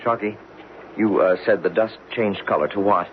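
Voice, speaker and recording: An actor playing a curious, easygoing geologist in a radio drama, thoughtful and measured. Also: male voice, on the low side, steady tone, natural voice. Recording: noisy, muffled old radio broadcast.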